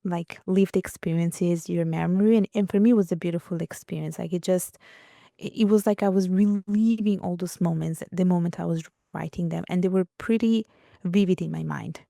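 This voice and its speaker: Young woman from Italy, interview guest; low, gravelly, mellow voice, reflective and halting, dry close sound. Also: fairly even intonation, no room sound. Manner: reflective, many fillers and restarts. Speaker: guest author. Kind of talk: conversational interview.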